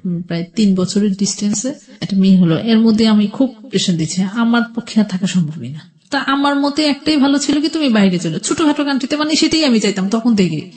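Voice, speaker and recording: A woman's voice: a little high, lively intonation, quick pace, a little mellow. A middle-aged woman with a Bangladeshi accent telling her life story as a radio interview guest, conversational, repeating and rephrasing as she goes.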